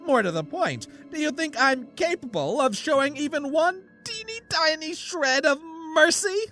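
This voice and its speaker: A man voicing a manic, sadistic talking sardine can in comedic audio fiction, shrill and strained, mocking and foul-mouthed. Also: big pitch swings, moderate pace, pushed and bright, soft background music, heavily acted. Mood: mocking, gleeful.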